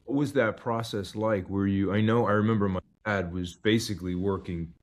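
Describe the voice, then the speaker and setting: A man hosts a conversational interview, asking curious questions that he often rephrases mid-sentence. His speaking voice sits a bit low. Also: steady tone, moderate pace. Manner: curious, prompting questions with restarts. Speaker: podcast host, interviewer.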